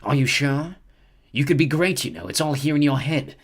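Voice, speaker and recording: A man doing the Sorting Hat, musing and husky. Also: a little high, moderate pace. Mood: coaxing. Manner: musing, probing, then bellowing the verdict. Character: old talking magic hat, shrewd, musing. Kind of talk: audiobook character voice.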